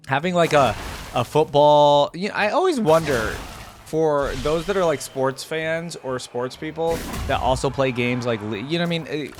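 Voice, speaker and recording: A man giving casual reaction commentary in an informal, slangy gamer way. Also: mid-range voice. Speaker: reaction video host.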